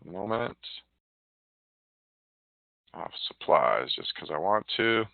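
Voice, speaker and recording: A man giving a casual live software demonstration, chatty and informal, muttering to himself as he works. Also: low-pitched, sing-song, slow, gravelly, no echo. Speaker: software demo presenter. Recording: narrow, phone-like line, dry.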